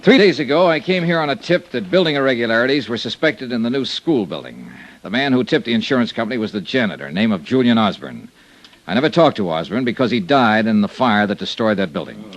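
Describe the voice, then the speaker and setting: An American actor playing a hard-boiled insurance investigator in an old radio serial, clipped, dry and blunt. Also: male voice, medium pitch, very animated, fast talker, slight background noise, close to an everyday voice. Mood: brisk briefing. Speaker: lead actor and narrator of radio detective serial. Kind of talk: old-time radio detective acting and narration. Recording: old radio broadcast recording.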